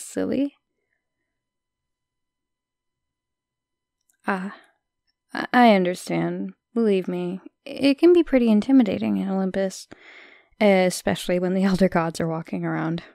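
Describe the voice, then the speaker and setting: Shy young goddess played by a woman, softly creaky. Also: medium pitch, no room sound, studio quality. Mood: shy, welcoming. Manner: hesitant, chatty, with soft asides. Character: shy, friendly, modest minor goddess of Olympus. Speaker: audio roleplay voice actor. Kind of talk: soft conversational audio roleplay acting.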